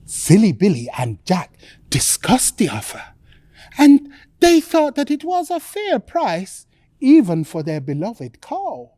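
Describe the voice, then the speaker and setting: Slow, very animated woman storyteller. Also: medium pitch, no echo, clean studio sound. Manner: theatrical, deliberate, with dramatic pauses. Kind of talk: pantomime bedtime storytelling for children.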